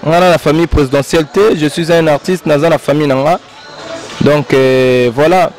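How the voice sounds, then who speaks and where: Congolese-accented male guest artist, high gravelly voice, rambling, soft music under. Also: steady tone, fairly quick. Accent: Congolese French. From Congo-Brazzaville. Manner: rambling, repetitive, shout-outs. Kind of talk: interview in Lingala and French.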